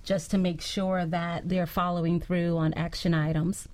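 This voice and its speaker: A woman speaking as a radio guest, giving advice in a measured, diplomatic, conversational way. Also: on the low side, little pitch movement, darkish tone, a little hiss or hum.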